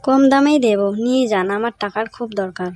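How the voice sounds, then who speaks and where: A woman's voice: on the high side, a little fast, a little mellow, close to an everyday voice. A woman voicing a poor, loving village mother in a cartoon story, humble and plaintive in manner. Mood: pleading. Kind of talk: Bengali cartoon story voice acting.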